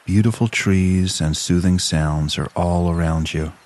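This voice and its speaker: A man narrating a scripted guided relaxation exercise in a calm, soothing, measured manner with long pauses. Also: deep voice.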